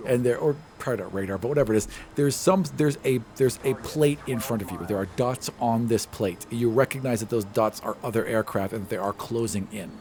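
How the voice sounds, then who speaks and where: A man's voice: medium pitch, a little fast, few pauses, darkish tone. A man narrating a tabletop game as game master, setting scenes dramatically in the present tense. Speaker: game master, podcast host.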